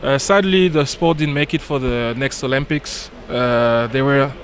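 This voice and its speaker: A man's voice: medium pitch, fairly even intonation, measured pace. Casual male guest from a French island, hesitant fillers, light background noise. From French Caribbean island. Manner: many fillers and repeated yeahs. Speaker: guest in the commentary box. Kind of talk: casual chat.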